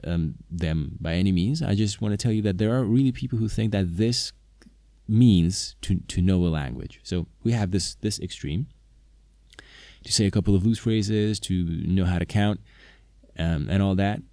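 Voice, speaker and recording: A man's voice: low-pitched, mellow, dry recording, studio quality. A man whose first language is Slovak, talking to viewers about knowing languages, hesitant, with many fillers and restarts. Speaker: polyglot video maker, interpreter. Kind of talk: casual talk to camera.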